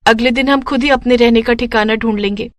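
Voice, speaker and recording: Resourceful young daughter, voiced by a woman, persuasive and earnest, higher-than-average pitch, dry studio-like sound. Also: steady tone, brisk, dry recording, natural voice. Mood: worried, determined. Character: resourceful, hopeful young adult daughter of a poor family.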